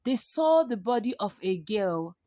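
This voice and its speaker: Woman, unhurried pace, dry close sound, expressive dramatic storytelling, stretched words for emphasis. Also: mid-range voice, no echo. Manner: expressive, with gasps and drawn-out repeated words for effect.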